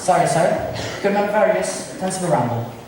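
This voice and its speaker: A woman's voice: lowish voice, lively intonation, moderate pace, darkish tone, lightly acted. A woman playing a pompous, patronizing, bumbling male constable in an amateur Shakespeare stage comedy. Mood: self-important. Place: amateur stage performance in a reverberant room. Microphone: distant recorder. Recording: echoey room, distant mic, faint noise.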